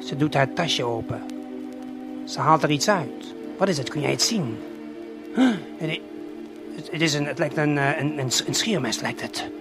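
A Dutch man playing a conspiratorial lookout in an audio play, confiding eyewitness observations to a companion in a halting, curious way. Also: a little high, lively intonation, over music. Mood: curious, puzzled. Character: excited, conspiratorial male lookout spying through binoculars.

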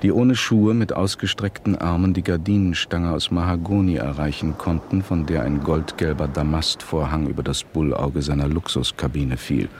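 An actor playing an authoritative narrator in a radio play, formal, deliberate and precise. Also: male voice, a bass voice, steady tone, darkish tone. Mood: formal, exacting. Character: authoritative male narrator, formal and exactingly detailed. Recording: 1970s radio recording, faint noise.

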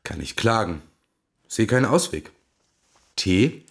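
A man's voice: on the low side, big pitch swings, takes it slowly, exaggerated voice. A man playing a gloomy but courteous industry expert in a radio play dialogue. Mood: glum, explanatory.

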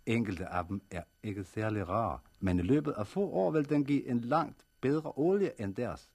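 A man's voice: medium pitch, animated, a little mellow. An actor playing a hard, self-assured man from abroad bargaining over a strange new plant oil, in a 1960s radio drama. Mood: confident. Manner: smooth, confident, bargaining.

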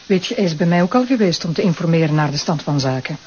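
A woman's voice: deep voice, expressive, fast, darkish tone, highs cut off, slight background noise. An actress playing a playful, affectionate pregnant woman with a Flemish accent. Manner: playful, teasing.

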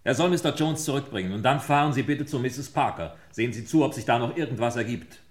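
A man's voice: medium pitch, lively intonation, fast, natural voice. A man playing a shrewd, impatient police inspector in a mid-century German radio crime drama, probing and commanding.

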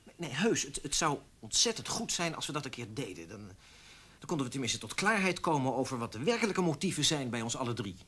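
A worked-up wavering newspaper columnist in a radio play, husky, stammery, pitch all over. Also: male voice, very animated, quick pace, bright, forceful tone, exaggerated voice. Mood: earnest, hesitant. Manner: hesitant, stammering, agitated. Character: indignant, wavering male newspaper columnist, self-justifying. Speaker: radio drama actor. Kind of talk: naturalistic radio play dialogue.

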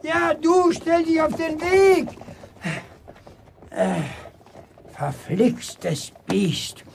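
Casting: blunt, exasperated countrywoman with a horse, radio drama, gravelly and unhurried, commanding. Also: high-pitched, big pitch swings, darkish tone, a little hiss or hum, exaggerated voice. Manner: grumbling, commanding, colloquial. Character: exasperated, grumbling horse owner, bossy and blunt. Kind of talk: German radio play acting.

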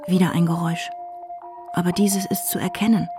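An actress playing a detached, eerie narrator describing sounds in a radio play. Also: female voice, fairly low pitch, fairly even intonation, brisk, over music, natural voice.

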